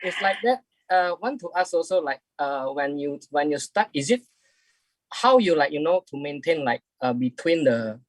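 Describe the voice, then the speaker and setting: A man's voice: on the high side, expressive, no room sound, low-quality recording. Hesitant man on a video call. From Indonesia. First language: Indonesian. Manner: halting, many restarts, keeps adding "right?". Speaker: audience member asking the guest questions. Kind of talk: casual question in an online talk.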